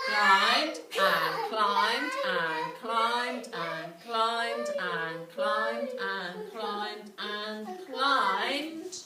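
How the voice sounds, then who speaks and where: A woman telling a children's story in an animated way, with repetition, dramatic pauses and questions to her listeners. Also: mid-range voice. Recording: roomy, distant mic, clearly noisy.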